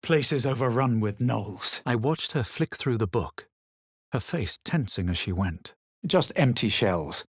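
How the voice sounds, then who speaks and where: A man playing a self-assured, wary fantasy adventurer in a video game, speaking in a measured, deliberate way. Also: mid-range voice, lively intonation, husky, dry recording, studio quality. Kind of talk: video game voice lines, narration and barks.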